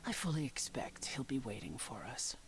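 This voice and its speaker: An actress voicing a proud, dry exiled queen of the underworld in a video game, measured and haughty. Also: rumbling low, very animated, vocal fry, whispered, full character voice, rough recording. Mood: cool, commanding. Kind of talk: dramatic video game voice acting.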